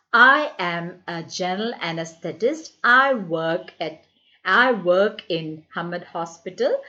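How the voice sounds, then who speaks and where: A woman from India teaching an English lesson to children, repeating words slowly and coaxing her pupils to speak. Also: mid-range voice, fairly bright tone, highs cut off.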